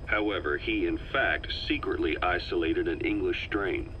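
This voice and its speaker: An actor playing a middle-aged, skeptical military interrogator who questions in a probing, matter-of-fact way for a video game. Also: male voice, sits low, moderate pace, lightly acted. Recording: dull, muffled audio with faint noise.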